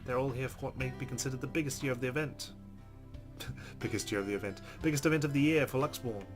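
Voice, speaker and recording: Male let's player reading a visual novel aloud, mid-pitched, with clear music underneath. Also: fast. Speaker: let's play host. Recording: music behind the voice.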